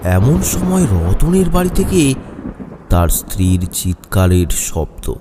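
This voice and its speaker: A man's voice: on the low side, big pitch swings, slow, background noise. A man narrating a horror story in a dramatic, scripted storytelling style. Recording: music behind the voice.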